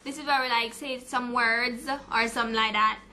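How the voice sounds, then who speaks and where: A young woman with a Jamaican accent vlogging in a giggly, fast-talking way. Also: a little high, steady tone, bright, forceful tone. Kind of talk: vlog to camera.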